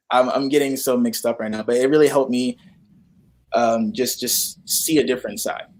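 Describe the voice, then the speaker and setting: A man's voice: medium pitch, steady tone. A young man chatting casually as a guest, often repeating himself and searching for words. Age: young adult. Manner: halting, repetitive, searching for words. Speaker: guest, actor in a student radio play. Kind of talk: casual interview chat.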